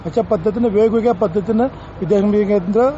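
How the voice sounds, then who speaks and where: A man's voice: high-pitched, fast, warm tone. A man speaking as an official in an interview, explaining informally and mixing in many English terms. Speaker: senior education official being interviewed. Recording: dull interview audio, faint background noise.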